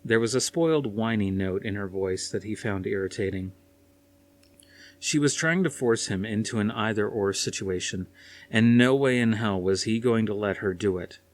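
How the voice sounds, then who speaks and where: A man's voice: on the low side, moderate pace. A man reading a dramatic story aloud as scripted audiobook narration. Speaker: audiobook reader.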